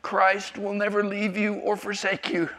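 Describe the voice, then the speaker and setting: A man's voice: high voice, monotone, measured pace, pushed and bright. A man in his fifties from South Africa, a native Afrikaans speaker with an American accent, giving a personal testimony at a church class in a rambling, self-interrupting, jokey way. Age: 50s.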